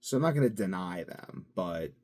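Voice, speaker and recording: A man's voice: deep voice, expressive, moderate pace, a little mellow. A man talking to his own video channel in a casual, rambling monologue, musing and drifting from thought to thought with plenty of fillers. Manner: musing, drifting between topics with frequent fillers. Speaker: vlogger.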